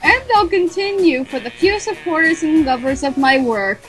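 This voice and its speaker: A woman co-host reads a passage aloud, stumbling and halting as she goes. Her voice is high. Clear music plays underneath. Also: expressive, poor audio. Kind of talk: reading a text aloud.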